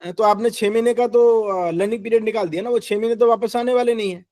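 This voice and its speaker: Loud fast-talking Indian English man. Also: high voice, dry recording, studio quality. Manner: loud, emphatic, peppers speech with "right?". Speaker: guest speaker, engineering head. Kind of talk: career advice talk, mixing English and Hindi.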